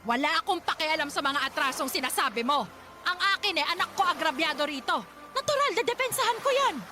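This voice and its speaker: A woman's voice: very high voice, expressive, a little fast, noisy background. A woman playing a hot-tempered, overprotective middle-aged mother-in-law in a Filipino radio drama, scolding and taunting. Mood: defiant.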